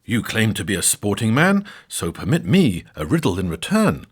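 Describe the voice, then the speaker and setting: A man voicing a startled young woman, a nun fending off a monk, with shrill protesting cries in a husky voice. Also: wildly swooping pitch, moderate pace, exaggerated voice. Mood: alarmed.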